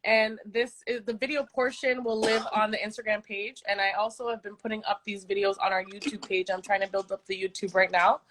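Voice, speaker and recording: A woman's voice: medium pitch, steady tone, fairly quick, few pauses, fairly bright tone. A woman radio host talking casually on a live stream, informative and listing details.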